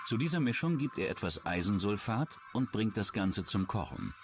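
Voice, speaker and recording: A man narrating a true-crime documentary in a measured, suspenseful way from a script. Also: fairly low pitch, very animated, background noise. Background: background music.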